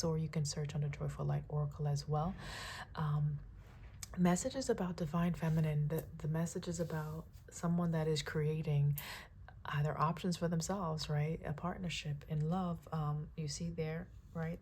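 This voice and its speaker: A woman with a raspy, froggy early-morning voice, giving a spiritual tarot reading with spoken prayers in a calm, soft-spoken way that often checks in with listeners. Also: low-pitched, a little hiss or hum. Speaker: tarot reader.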